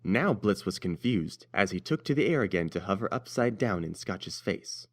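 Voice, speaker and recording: A man's voice: deep voice, expressive, moderate pace. A man narrating a scripted story as its reader.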